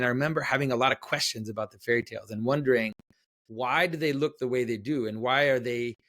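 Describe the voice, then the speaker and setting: A man in his forties from Quebec whose first language is French, a guest answering interview questions thoughtfully, with fillers and restarts, speaking over a video call from a room at home. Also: medium pitch. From Quebec, Canada. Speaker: guest author and artist.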